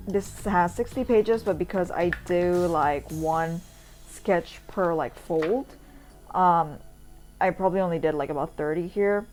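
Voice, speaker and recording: A woman's voice: fairly low pitch, a little slow, darkish tone. A woman from Indonesia giving a casual, rambling voice-over tour in an informal, chatty way, as a vlogger does. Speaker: vlogger, urban sketcher. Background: background music.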